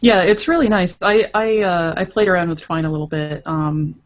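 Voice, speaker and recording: A woman's voice: fairly low pitch, moderate pace, dull audio. A woman speaking as a podcast guest in casual chat, explaining things informally. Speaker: podcast guest, comic creator.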